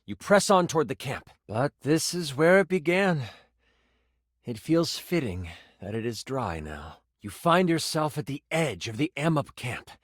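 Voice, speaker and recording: A man voicing an earnest, excitable game master in a video game, narrating fantasy adventure dramatically with hesitant, casual asides. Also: mid-range voice, measured pace, dry recording, clean studio sound. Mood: hushed, immersive. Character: earnest, excitable, slightly flustered male game master character.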